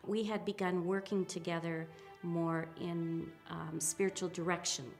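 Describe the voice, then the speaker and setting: A woman in her fifties speaks with a low, gravelly voice. She talks hesitantly and softly, pausing and restarting as she reflects on her own story. Faint music plays underneath. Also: level tone. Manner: hesitant and soft, with pauses and restarts. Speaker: interviewee, spiritual practitioner. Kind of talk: reflective personal account.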